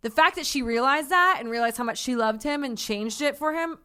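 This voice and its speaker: A woman chatting casually, swearing freely and acting out what others said, with a voice she calls deep for a woman. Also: expressive, brisk, sharp tone, smooth. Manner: swears freely, acts out quotes in put-on voices. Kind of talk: casual chat.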